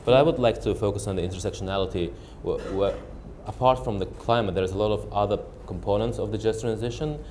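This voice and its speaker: A young man speaking as a panelist, eager and energetic, quick to laugh. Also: on the low side, a little hiss or hum. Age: young adult. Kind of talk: panel discussion.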